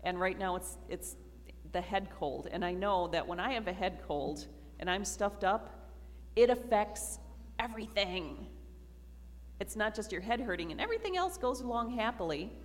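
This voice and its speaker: A woman pastor giving a slow, earnest communion sermon to her congregation in a church. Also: medium pitch, lively intonation, a little hiss or hum. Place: church sanctuary. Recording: reverberant church hall.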